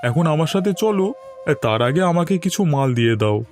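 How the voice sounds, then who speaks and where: A man's voice: on the high side, expressive, normal speed, a little mellow, lightly acted. A man voicing a friendly village customer and matchmaker in a cartoon. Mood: upbeat, persuasive. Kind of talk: Bengali cartoon dialogue.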